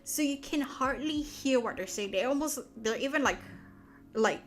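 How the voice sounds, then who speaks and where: Malaysian woman streamer, a touch high, loose rambling chat full of fillers. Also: expressive, moderate pace, soft background music. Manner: rambling, many fillers and restarts. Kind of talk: casual chat.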